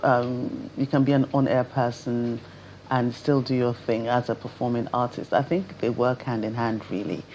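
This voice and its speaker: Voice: mid-range voice, little pitch movement, moderate pace, darkish tone, lo-fi sound, a little hiss or hum. A television co-host, presenting and interviewing in a conversational style. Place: television studio.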